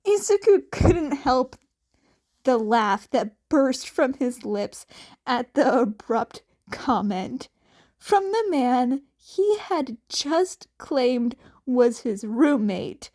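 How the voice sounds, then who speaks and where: A woman reading fiction aloud as an amateur audiobook narrator, with expressive scripted delivery. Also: sits high, drawn-out words.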